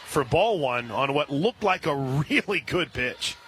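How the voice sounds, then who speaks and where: Male softball play-by-play announcer, outdoor noise. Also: fairly high pitch, expressive, unhurried. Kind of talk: live softball play-by-play commentary. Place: outdoor softball stadium broadcast position. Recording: clear outdoor ballpark ambient noise.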